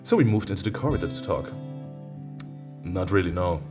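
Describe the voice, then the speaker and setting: A man reading a visual novel aloud as a let's-play host, breaking off into expressive, teasing reactions, with guitar music behind him. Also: low-pitched, a little fast.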